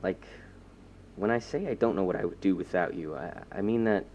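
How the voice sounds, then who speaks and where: A man playing an earnest young self-styled superhero in an audio drama, talking informally and haltingly. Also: low voice, darkish tone, a little hiss or hum. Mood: awkward, tender. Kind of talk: naturalistic audio drama dialogue. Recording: slightly dull, muffled recording.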